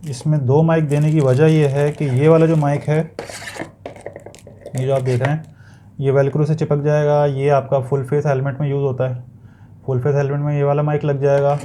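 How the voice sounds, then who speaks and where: A man's voice: mid-range voice, dark tone, a little hiss or hum. A man with a Pakistani accent giving a casual, explanatory product review, feeling for his words and sometimes repeating them, as in an unboxing video.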